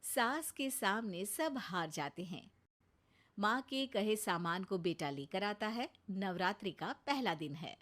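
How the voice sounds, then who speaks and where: A woman's voice: medium pitch, expressive, slow pace, a bit bright. A woman narrating a cartoon story in a plain, scripted storybook manner.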